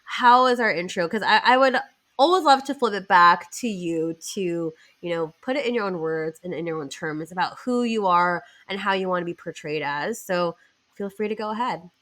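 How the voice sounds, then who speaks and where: A woman's voice: lowish voice, normal speed, a bit bright. A woman hosting a podcast in a casual, bubbly, giggly way, her nose stuffed up from a cold. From Canada. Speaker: podcast host.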